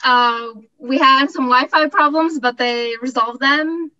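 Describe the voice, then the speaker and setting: A woman's voice: high voice, a little slow, bright, forceful tone, highs cut off. A woman in her twenties from Costa Rica, a graduate student giving an informal, enthusiastic talk at an information session. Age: 20s. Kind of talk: informal testimonial talk at an online info session.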